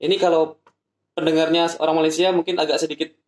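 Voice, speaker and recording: A man from Indonesia explaining an application process step by step in a vlog, spelling out addresses slowly. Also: a little high, flat delivery, roomy sound. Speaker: vlogger.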